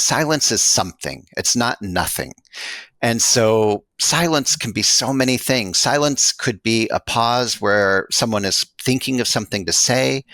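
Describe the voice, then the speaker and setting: A man's voice: mid-range voice, a bit bright, no room sound, poor audio. An American man, a guest author in an interview, explaining his ideas in a reflective way. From United States. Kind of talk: interview conversation.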